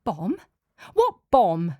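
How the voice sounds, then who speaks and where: A woman's voice: on the low side, wildly swooping pitch, drawn-out words, dry recording, muffled recording, full character voice. Woman reading as an alarmed young heroine. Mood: incredulous. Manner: sharp, incredulous questioning. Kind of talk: audiobook character reading.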